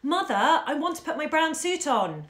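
A woman's voice: very high-pitched, lively intonation, normal speed, a bit bright, pitched above the performer's own voice. A woman voicing a stubborn five-year-old boy who pleads in a plaintive, nasal whine. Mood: whining. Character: insistent whining little boy, five years old. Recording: roomy echo.

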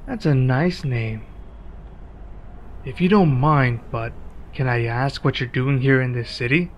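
A man acting a one-sided ASMR roleplay as a laid-back, friendly werewolf local, speaking casually and in a measured way with pauses. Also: mid-range voice, highs cut off.

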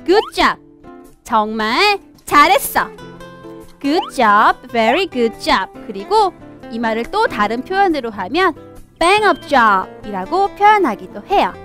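Young woman, children's teacher, very lively intonation, slow careful delivery, expressive storybook reading. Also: sits high. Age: young adult. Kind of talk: children's lesson reading a storybook aloud with explanations. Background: background music.

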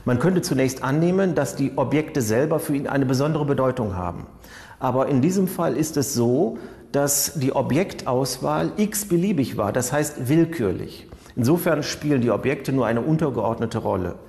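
A man giving formal, measured, analytical commentary as an expert on criminal behaviour. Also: medium pitch, animated, darkish tone. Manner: measured, formal, technical wording. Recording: long reverberant room sound.

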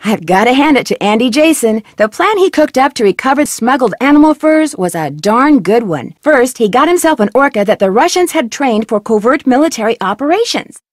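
A woman's voice: fairly high pitch, big pitch swings, brisk, few pauses, no echo, studio quality. A woman voicing a curious young female detective in a video game, asking questions in a polite, earnest way. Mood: narrating. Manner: inquisitive, bright and earnest. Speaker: voice actor for a game heroine.

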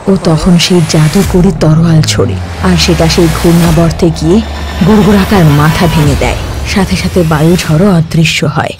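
A man's voice: fairly high pitch, fairly even intonation, moderate pace, music in the background. Man narrating a cartoon folk tale. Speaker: storyteller narrator. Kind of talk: scripted storybook narration.

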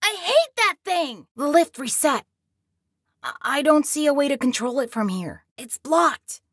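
A woman's voice: high voice, very animated, unhurried, dry recording, clean studio sound, full character voice. A woman voicing a young boy in a video game, scared but plucky and resourceful, speaking in an earnest, anxious way. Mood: determined, tense.

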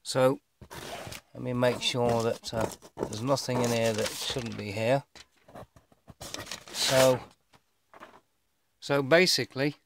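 A middle-aged man giving a casual unboxing commentary, rambling and thinking aloud with halting phrases as he films by hand indoors. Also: medium pitch, fairly even intonation, vocal fry, noisy background. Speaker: vlogger showing his own gear. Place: indoors, bedroom, filming over a bed. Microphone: handheld camera or phone held by the speaker.

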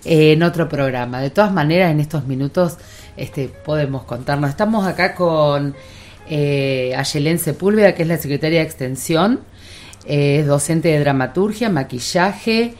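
A woman's voice: sits low, moderate pace, darkish tone, faint music behind. A woman with an Argentine accent hosting an informal radio interview. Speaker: radio host introducing guests. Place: radio studio.

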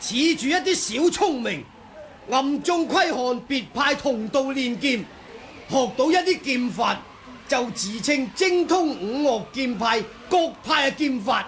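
A man's voice: extremely high pitch, lively intonation, unhurried, a bit bright, slight background noise, full character voice. An actor with a Hong Kong Cantonese accent playing a scornful male martial artist in an old radio drama, sneering and declamatory. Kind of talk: 1980s radio drama acting.

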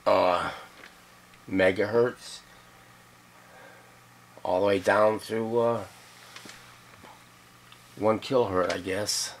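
A middle-aged man giving a casual, halting, folksy hands-on demonstration, thinking aloud at a workbench. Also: lowish voice, steady tone. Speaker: radio restorer demonstrating a repair. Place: cluttered indoor electronics workbench. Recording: loud background noise and radio hum.

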